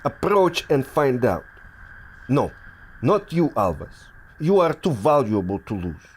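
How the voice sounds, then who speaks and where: Imperious villain with swooping delivery. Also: male voice, mid-range voice, big pitch swings, breathy edge, full character voice. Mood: cold, commanding. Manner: imperious, deliberate, theatrical. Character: commanding, fanatical male villain, coldly theatrical. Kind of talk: scripted audio drama acting.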